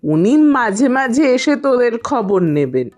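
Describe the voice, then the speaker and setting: A fussy, caring village mother from a cartoon , acted by a woman. She admonishes slowly and deliberately in a fairly high voice. Soft music sits behind her. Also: very animated. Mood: fussing, admonishing. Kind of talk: cartoon voice acting.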